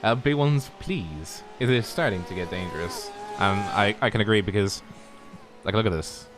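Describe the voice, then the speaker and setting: A man with a slightly low voice co-commentates a live sim race, talking things through at an easy, agreeable pace. A steady hiss runs under his microphone. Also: normal speed. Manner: explains at length, relaxed and agreeable.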